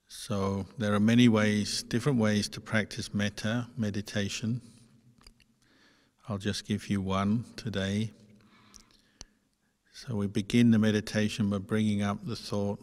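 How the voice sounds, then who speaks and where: Slow, low-voiced man guiding meditation. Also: level tone. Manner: gentle, unhurried, with long pauses between short phrases. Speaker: Buddhist monk leading guided meditation. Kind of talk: guided meditation instruction. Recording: long hall-like reverb.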